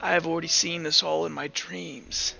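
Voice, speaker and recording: A man acts out a lanky evil queen in a fantasy tabletop game. She is grandiose and menacing, convinced of her godhood, speaking slowly and pompously in a bright, forceful voice. Faint music plays behind a slightly dull recording. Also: fairly high pitch, steady tone, vocal fry, heavily acted. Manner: slow, imperious, pompous delivery.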